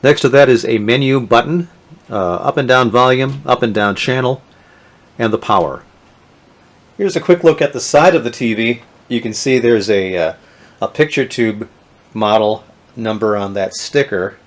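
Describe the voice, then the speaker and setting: A middle-aged man, talking casually and haltingly with many fillers as he shows off old electronics. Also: mid-range voice. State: has a cold, sniffly. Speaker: vintage electronics video host. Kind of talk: casual show-and-tell narration.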